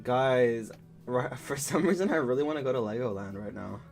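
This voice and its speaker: A jokey man co-hosting a relaxed art livestream, firing off quick reactions. His voice sits at a middling pitch, with faint music behind him. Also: vocal fry. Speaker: indie animation team member, stream co-host. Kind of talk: casual livestream chat.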